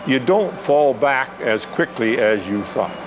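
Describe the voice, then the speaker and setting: A man in his seventies talks through his answers, stopping for fillers and repeating himself as he goes. His pitch sits mid-range for a man. Steady background noise from the gym runs under him. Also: a little slow. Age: 70s. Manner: rambling, with many uh fillers and repeated words. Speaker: interviewee, senior athlete. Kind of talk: casual interview answers.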